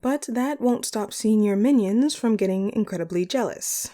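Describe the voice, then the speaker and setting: Woman playing a mellow, formal supervillain boss lady. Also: mid-range voice, lightly acted. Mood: mildly exasperated, businesslike. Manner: formal, measured, articulate phrasing. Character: composed, courteous female supervillain boss, pragmatic and secretly kind. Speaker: audio roleplay performer. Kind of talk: scripted audio roleplay monologue addressed to the listener.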